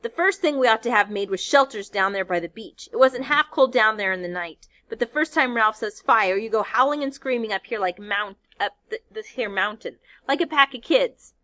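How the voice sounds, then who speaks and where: A woman voicing a fretful, indignant schoolboy stranded on an island, shrill and scolding. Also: expressive, normal speed, few pauses, fairly bright tone. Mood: lecturing.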